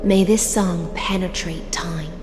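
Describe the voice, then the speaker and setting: A woman's voice: on the low side, fairly even intonation, slow pace, big-room sound, noisy background. An actress playing a graceful, enigmatic woman in a video game, speaking in a stately, poetic way. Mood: solemn, gentle. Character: solemn, graceful, enigmatic female singer of the depths.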